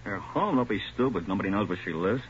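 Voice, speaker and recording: A man's voice: low-pitched, animated, quick pace, a little hiss or hum. A young male hoodlum, cocky and sneering, with slangy speech that swings up and down. He is defiant, in 1940s radio crime drama style, on a muffled old recording. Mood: sullen, cocky.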